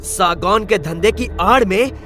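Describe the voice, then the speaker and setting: A brazen village blackmailer in an animated drama. His voice is high for a man and sharp, combative and theatrical, with faint music behind. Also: measured pace. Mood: threatening.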